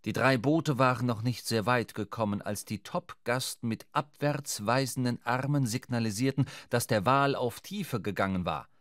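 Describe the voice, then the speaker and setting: A man reads literary narration for a radio play. His voice sits at an average pitch and he tells the story in a measured, dramatic way. The sound is very dry, with no room around it. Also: no room sound.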